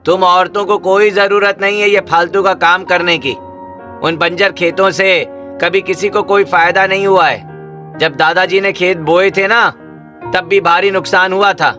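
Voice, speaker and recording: A man's voice: high-pitched, steady tone, measured pace, pushed and bright, soft background music. A man voicing a surly, scornful drunkard of a village husband in a cartoon story. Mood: scornful, angry.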